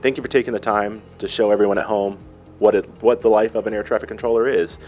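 Fast-talking upbeat host guy in his 30s, handheld mic, faint music behind. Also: on the low side, steady tone, muffled recording. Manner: upbeat, brisk presenter delivery. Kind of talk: local TV host interview.

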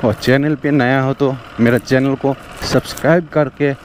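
A man's voice: mid-range voice, steady tone, measured pace, a little hiss or hum. Bengali-accented young man vlogging from a motorbike. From West Bengal, India. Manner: chatty, repeats "theek hai" and "dekho". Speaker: motovlogger. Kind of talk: casual vlog narration while riding. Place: outdoors on a riverbank, on a motorbike. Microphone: helmet or chest action camera.

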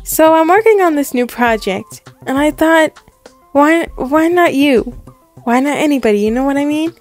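A woman's voice: high voice, lively intonation, normal speed, soft background music. A woman voicing a self-assured, encouraging Broadway producer in a story roleplay, speaking directly. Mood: pitching.

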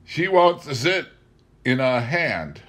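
A man's voice: medium pitch, very animated, takes it slowly, a bit bright, voice pushed up. A man voicing a shy, mild logger in a memoir reading, with plain, understated speech. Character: shy, mild hard-drinking male logger.

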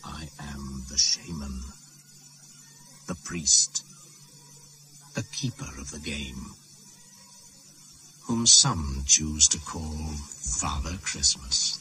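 An actor playing an ancient male shaman who is also Father Christmas, speaking slowly and solemnly in a 1980s radio play. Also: poor audio. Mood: commanding. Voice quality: deep, slow, grave and intoning. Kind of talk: 1980s radio drama acting. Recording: music bed behind the voice.

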